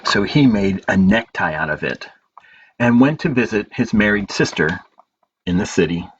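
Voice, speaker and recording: A man hosting a storytime as a librarian, reading picture books aloud in measured phrases with pauses and informal asides. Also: on the low side, lively intonation. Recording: dull lo-fi audio with clear background noise.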